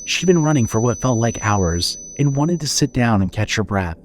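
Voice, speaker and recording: A man narrating a scripted horror story as storyteller, measured and suspenseful. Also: medium pitch, very animated, slight background noise.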